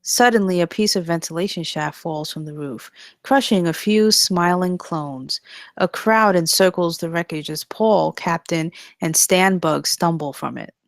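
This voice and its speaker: A woman's voice: lowish voice, a little slow, vocal fry, no room sound, studio quality. A woman narrating stage directions at a scripted table read.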